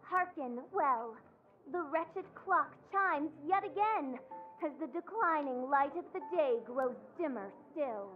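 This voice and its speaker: Pompous, high-voiced self-styled teenage princess. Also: female voice, expressive, slow pace, lo-fi sound. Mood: portentous. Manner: pompous, archaic, declamatory. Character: grandiose theatrical teenage girl, self-styled gothic princess. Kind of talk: video game character acting.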